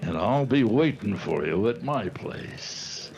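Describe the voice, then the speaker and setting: An actor playing a slow-talking gang boss of western outlaws in an old-time radio drama. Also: male voice, fairly low pitch, expressive, crowd noise. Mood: scheming, commanding.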